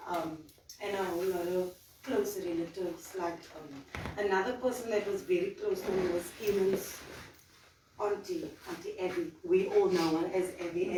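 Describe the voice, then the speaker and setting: A woman, a citizen journalist speaking to a community gathering with a hesitant manner and many fillers. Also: medium pitch. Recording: echoey room, distant mic, background noise.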